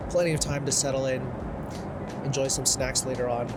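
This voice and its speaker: A man filming a travel vlog in an airplane cabin, talking straight to his viewers in a relaxed, reflective way. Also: mid-range voice, fairly quick, loud music behind the voice. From Canada. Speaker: travel vlogger. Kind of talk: casual travel vlog narration.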